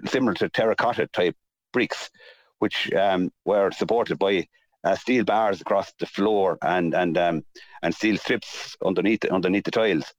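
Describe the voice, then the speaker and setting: A man with a south-east Irish accent explaining things unscripted in an interview, in measured, halting short phrases. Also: low-pitched, no echo. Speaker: mill restorer, interview guest.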